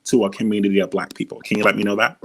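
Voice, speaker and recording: A man whose voice sounds feminine and a touch husky sits fairly low in pitch as he hosts a heated live debate. He keeps breaking in, repeating "hold on" over and over to win back the floor. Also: level tone, racing, fairly bright tone. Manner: interrupts often, repeats "hold on" to take the floor. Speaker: host.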